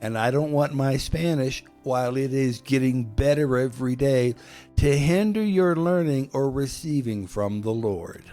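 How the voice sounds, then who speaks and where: A man, a pastor, speaking in measured phrases and pausing so his words can be interpreted. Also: medium pitch, mellow, faint music behind. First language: English. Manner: slow, measured, with pauses for interpretation. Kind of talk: bilingual sermon-style talk.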